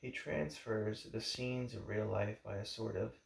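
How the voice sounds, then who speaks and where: Male reader for a history audiobook: fairly deep and creaky, formal and deliberate, recorded in an echoey room. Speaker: book reader. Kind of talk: audiobook-style reading of a history book introduction.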